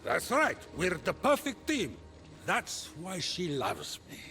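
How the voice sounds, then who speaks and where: Casting: pompous petty deity, theatrical, very high voice with big swoops, comic game. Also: male voice, wildly swooping pitch, takes it slowly, bright, forceful tone, faint music behind, full character voice. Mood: smug. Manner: pompous, gloating. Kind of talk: comic video game narration banter.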